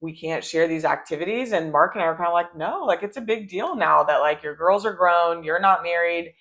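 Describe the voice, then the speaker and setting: A woman with a low voice for a woman, co-hosting a casual chat and talking freely with many fillers. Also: a little fast, a bit bright. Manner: chatty, frequent "like" and "you know". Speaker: co-host.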